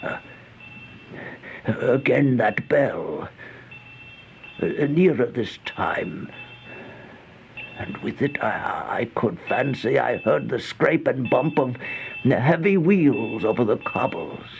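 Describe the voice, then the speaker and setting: An actor playing a horrified man telling his nightmare in a halting, gasping, theatrical way, in an old radio horror monologue. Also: medium pitch, big pitch swings, gravelly, full character voice. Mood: uneasy, hopeful. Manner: halting, gasping, theatrical delivery with drawn-out dread. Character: horrified man narrating his nightmare in a plague-haunted house. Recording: muffled, noisy old radio recording.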